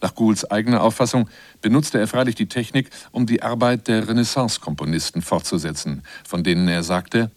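A man's voice: fairly low pitch, fairly quick, dry recording. A man presenting a radio feature in scripted narration.

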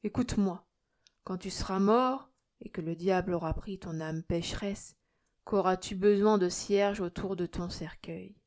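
A woman voicing a kindly, shrewd male village priest in an audiobook, gently admonishing and measured. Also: on the low side, close to an everyday voice. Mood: coaxing, calm. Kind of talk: audiobook character acting.

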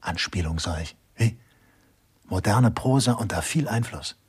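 An actor playing a drily pompous, bookish assistant detective in a German radio crime comedy, musing in know-it-all asides. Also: male voice, lowish voice, animated, unhurried, full character voice. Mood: pompous, dry. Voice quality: husky. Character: bookish, opinionated male police sidekick, drily pompous.

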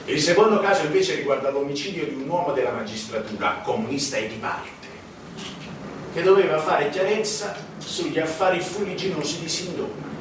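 An actor playing a sardonic insider who narrates on stage in an emphatic, ironic, rhetorical way. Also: male voice, a little high, lively intonation, fairly quick, background noise, natural voice. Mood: ironic, insinuating. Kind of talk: theatrical narration. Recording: echoey stage, distant mic, music behind.

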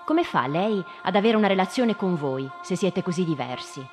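Actress as low-voiced questioning woman, echoing hall. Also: expressive, moderate pace, natural voice. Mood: probing. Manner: inquisitive, short probing questions. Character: questioning woman, gently probing children about a frightening creature. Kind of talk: live radio drama dialogue. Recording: reverberant hall with faint music. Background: faint music.

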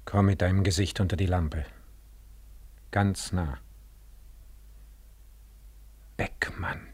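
An actor playing an accusing, ghostly one-legged giant in a 1940s radio play, solemn and menacing with a husky, hollow voice. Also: male voice, low voice, steady tone, measured pace, a little mellow. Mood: haunting, insistent.

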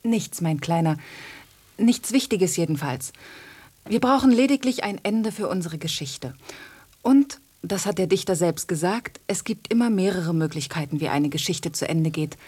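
A tender female cat in a radio play. Her voice is on the low side and husky, with a coaxing, affectionate way of speaking. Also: lively intonation, normal speed, a little mellow. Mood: soothing. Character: affectionate young female cat dreaming of town life. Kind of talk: radio drama acting.

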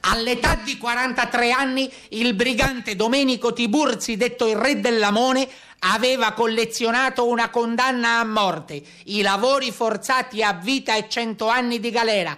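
A man's voice: very high voice, fairly even intonation, normal speed, sharp tone, pitched above the performer's own voice. A man voicing a solemn carabiniere who recounts a brigand hunt, formal and declaiming, in theatrical narration. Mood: formal, emphatic.

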